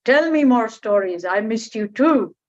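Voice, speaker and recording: A woman voicing a huge old mountain that talks in a flat, blunt, curt way. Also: fairly high pitch, a little slow. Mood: curious. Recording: dull lo-fi recording.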